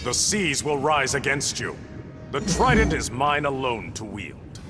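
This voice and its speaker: Proud ocean king for a fighting game: commanding, formal, animated pitch, over loud music. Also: unhurried. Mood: commanding, threatening. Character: proud, stern warrior king of an undersea kingdom. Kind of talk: video game voice acting.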